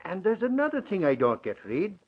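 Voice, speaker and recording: An actor playing a good-natured, excitable crime reporter with folksy, blustery talk in an old-time radio drama. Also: male voice, sits high, a little fast, slightly rough, full character voice. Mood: chatty, puzzled. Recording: muffled vintage radio recording.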